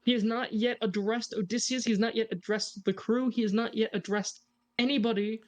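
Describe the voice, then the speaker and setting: A man's voice: high-pitched, steady tone, a little fast. A man co-hosting a reaction video, casually analysing a song and joking with his audience. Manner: chatty, jokey, points things out to the audience.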